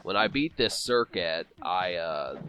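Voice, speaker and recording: Low, stuffy-nosed male game commentator. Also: lively intonation, unhurried, sharp tone. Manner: rambling, many fillers and restarts. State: congested from a cold, sniffling. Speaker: let's play commentator. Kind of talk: casual gameplay commentary.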